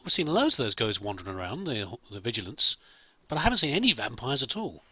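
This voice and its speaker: A man's voice: medium pitch, big pitch swings, fast, pushed and bright, husky, no echo. A man hosting a let's play with casual role-played commentary, chatty and musing to himself.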